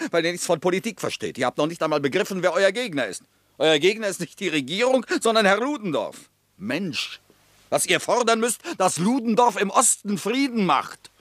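A man's voice: high-pitched, dry recording. An actor playing a defiant, scornful revolutionary politician in a German radio play, lecturing his comrades.

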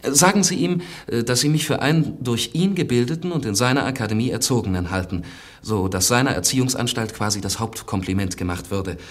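Idealistic young poet for radio drama, male actor: confident, earnest, spirited, medium register, breathy-rough tone. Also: mid-range voice, fairly quick, close to an everyday voice. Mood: confident, scheming.

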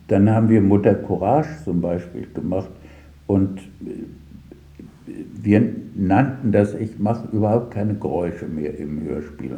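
Dark-voiced older man, slow and halting. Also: deep voice, echoey room, lo-fi sound. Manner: halting, searching for words, pausing mid-sentence. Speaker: radio drama director. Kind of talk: reflective interview answers, recounting work anecdotes.

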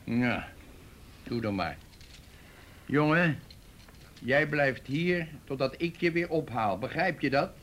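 A bullying chief inspector in a Dutch radio play. He has a mid-pitched male voice and a Netherlands accent. His delivery is gruff, sarcastic and threatening. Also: animated, slow pace, long pauses, fairly bright tone. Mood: angry, threatening. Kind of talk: radio drama acting.

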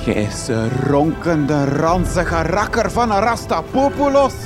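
Man as high-voiced, overzealous Flemish young reporter. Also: animated, unhurried. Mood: indignant. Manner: melodramatic, accusing, stacks up clichés. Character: indignant, naive, overzealous young male star journalist. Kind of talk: gothic horror comedy radio drama. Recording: loud music under the voice.

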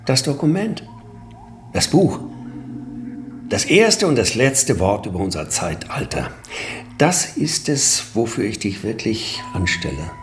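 An actor playing a grandiose, commanding boss in a radio drama, declaiming with pompous, visionary emphasis. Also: male voice, fairly low pitch, very animated, measured pace, long pauses, roomy sound, faint music behind.

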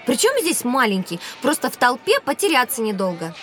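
A woman playing a cautious, sensible and somewhat bossy young schoolgirl in an educational children's audio drama, expressive and admonishing. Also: on the high side, fairly quick, some chatter behind.

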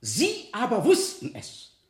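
Scornful prosecutor, dramatically swooping voice. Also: male voice, high voice, wildly swooping pitch, slow pace, darkish tone, echoey room, full character voice. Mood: thundering accusation. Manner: theatrical, rhetorical, insistent cross-examining. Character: relentless male public prosecutor, scornful and cuttingly ironic. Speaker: actor in a radio play. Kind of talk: 1970 German radio drama courtroom acting.

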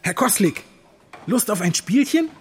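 A man's voice: sits high, very animated, a little slow, heavy background noise. A man playing a sociable, folksy hospital patient who chats and is easily amazed, in an audio drama. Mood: sociable, inviting. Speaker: actor in a drama. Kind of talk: dialogue acting in an audio drama.

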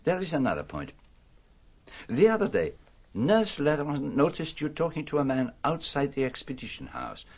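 An actor playing a shrewd, courteous detective, formal and deliberate in a radio drama. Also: male voice, a little high, big pitch swings, vocal fry, heavily acted. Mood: probing, firm. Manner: formal, deliberate, inverted foreign phrasing. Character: shrewd, courteous foreign detective, probing and commanding man.

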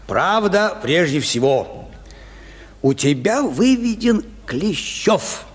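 Husky, slow-spoken sentimental old gentleman. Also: sits high, heavily acted. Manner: drawn-out, fatherly, earnest. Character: sentimental, tactful old man, eldest dinner guest. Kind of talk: Russian radio play acting.

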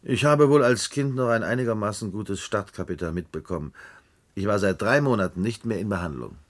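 An actor playing a weary, cynical long-serving official with a husky voice and dry manner in a German radio play. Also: male voice, on the low side, fairly quick, natural voice. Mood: dry, resigned.

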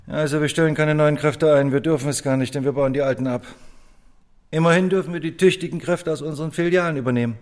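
An actor in a German radio play playing an imperious personnel boss, brusque and officious. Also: male voice, fairly high pitch, steady tone, quick pace, darkish tone, lightly acted. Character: curt, imperious male department store personnel boss.

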